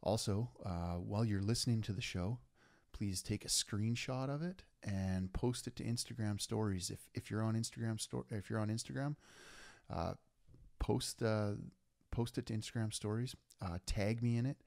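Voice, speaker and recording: A man's voice: lowish voice, moderate pace, gravelly, darkish tone, dry recording, studio quality. A man hosting a podcast, talking earnestly and enthusiastically with plenty of fillers and restarts. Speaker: podcast host. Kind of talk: casual podcast intro and interview.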